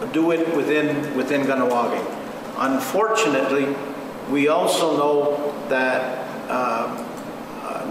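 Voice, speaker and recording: A man playing an earnest community safety expert who explains facts at a meeting in a halting, matter-of-fact way. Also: a little high, natural voice. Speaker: community protection resource person at a meeting. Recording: very echoey noisy hall, far from mic.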